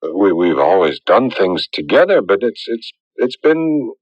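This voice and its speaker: A man's voice: fairly low pitch, very animated, unhurried, no echo. A man playing an earnest, bewildered young suitor in a radio drama, hesitant and incredulous. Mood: flustered. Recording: muffled old radio broadcast.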